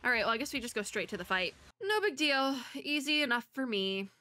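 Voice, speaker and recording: A woman's voice: on the high side, lively intonation, fairly quick, pushed and bright. A young woman casually talking through a video game, chatty and rambling, with a stuffy nose. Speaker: streamer. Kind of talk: casual gameplay commentary.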